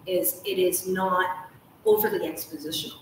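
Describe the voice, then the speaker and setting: A woman's voice: lowish voice, fairly even intonation, moderate pace. Casting: enthusiastic female interviewer, casual and wordy, heard away from the mic with strong room echo. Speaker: interview host. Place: hotel lounge with armchairs. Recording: echoey room, far from mic, noisy.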